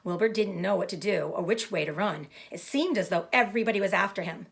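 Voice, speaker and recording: A woman giving an expressive storybook read-aloud for children, pausing to explain things simply. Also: mid-range voice, vocal fry. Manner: explanatory, addressing young listeners. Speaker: storybook reader.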